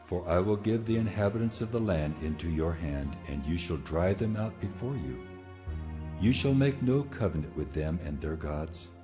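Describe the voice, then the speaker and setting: An actor playing God in a dramatized scripture reading, solemn, majestic and authoritative, with measured delivery. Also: male voice, low-pitched, lively intonation. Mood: stern, commanding. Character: God, a solemn, commanding, majestic male deity. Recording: long cavernous reverb over soft music.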